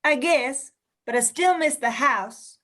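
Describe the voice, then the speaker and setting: A woman's voice: high voice, slow pace, sharp tone, full character voice. A woman playing a stubborn, feisty old lady ghost, mischievous and insistent, in a radio play. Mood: insistent, wistful. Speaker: actor in an amateur radio play.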